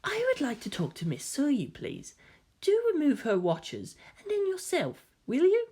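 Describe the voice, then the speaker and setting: A woman voicing a coy, androgynous hybrid youth with genteel, gently teasing politeness and quiet menace. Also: sits high, huge pitch swings, a little slow, pitched above the performer's own voice, heavily acted. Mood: polite, calculating.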